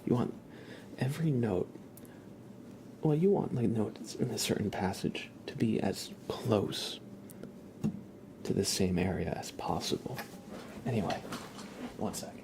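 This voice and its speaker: A soft-spoken man chatting casually on an ASMR livestream, drifting in and out of a whisper. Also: lowish voice, a little slow, gravelly, long pauses, background noise.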